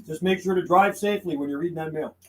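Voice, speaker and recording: Guy on a cb livestream, kinda high voice, friendly and laid back, lots of man and buddy. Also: fast, a little mellow, slight background noise. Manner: easygoing, friendly, says "man" and "buddy" often. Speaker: live streamer and CB radio operator. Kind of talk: casual chat and radio calls on a live stream. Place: radio room inside his house.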